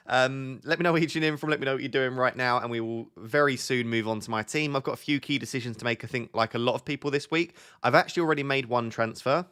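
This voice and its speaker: A man's voice: medium pitch, fairly bright tone. A young man hosting a casual live stream, chatting and reacting to viewers' messages in a self-deprecating way. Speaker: streamer reading live chat.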